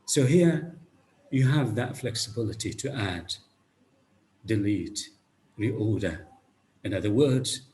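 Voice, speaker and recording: A low-pitched man from Iraq lectures over a video call, walking students through ideas one step at a time. He poses questions and answers them himself, checking in with a steady "okay?". A faint hiss of noise sits under his voice. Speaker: guest lecturer. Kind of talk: online academic lecture with questions.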